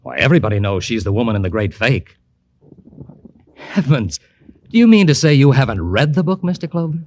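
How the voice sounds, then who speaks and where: An actor playing a smug, bitter literary ghost writer with an arch, pompous manner, in vintage radio drama style. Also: male voice, medium pitch, very animated, a little fast, natural voice. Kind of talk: 1950s radio drama acting. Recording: noisy, clicky vintage radio recording.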